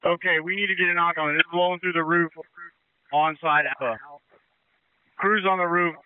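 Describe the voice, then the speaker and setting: A man's voice: a little high, steady tone, moderate pace, clear, resonant tone, no room sound. A man giving terse, urgent orders as fire incident commander over a two-way radio. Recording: tinny band-limited two-way radio traffic.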